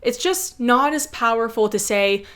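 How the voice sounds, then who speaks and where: A woman with a slightly high voice hosts an educational video essay. She reads scripted narration and addresses the audience playfully, as a teacher would a class. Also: measured pace, fairly bright tone. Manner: playful schoolteacher address to viewers.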